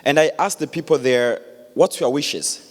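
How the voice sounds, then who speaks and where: A man, giving an earnest public speech with many pauses and restarts. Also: fairly high pitch. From Democratic Republic of Congo. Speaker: guest speaker. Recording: echoey hall.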